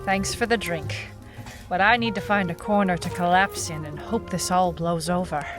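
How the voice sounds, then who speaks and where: An actress playing a terse, suspicious female private eye with no memory, deadpan in a noir audio drama. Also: lowish voice, moderate pace, lightly acted. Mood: tired suspicion. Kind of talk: noir detective audio drama, narration and dialogue. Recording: music playing behind the voice. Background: music.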